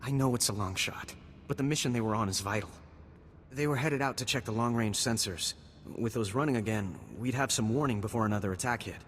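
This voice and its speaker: In a long echo over faint noise, a man plays an earnest military lieutenant from a video game. He speaks at a middling pitch with urgency, pleading and faltering like an officer out of his depth. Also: brisk, natural voice. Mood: worried, pleading. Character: anxious, earnest male army lieutenant at besieged outpost. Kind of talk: scripted video game cutscene acting. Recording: long reverberant hall, faint background noise.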